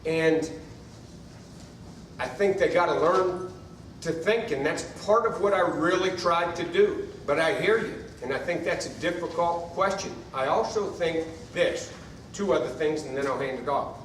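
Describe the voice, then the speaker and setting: A man speaks earnestly as a college football coach on a conference panel, circling back and repeating himself to drive a point home. His voice sits fairly high. The recording is distant and echoey, with faint room noise underneath. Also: measured pace. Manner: earnest, reflective, repeats phrases for emphasis. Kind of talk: panel discussion answer.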